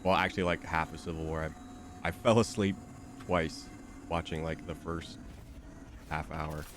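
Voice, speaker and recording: A man's voice: rumbling low, steady tone, normal speed, a bit bright, a little hiss or hum. A young man with a stuffy, slightly nasal voice, chatting casually and rambling with lots of fillers while streaming a game. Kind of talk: casual chat while gaming.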